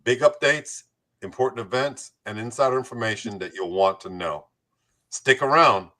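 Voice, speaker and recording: A man's voice: medium pitch, normal speed, pushed and bright. A man hosting a community talk show, moving between scripted introductions and playful, jokey banter.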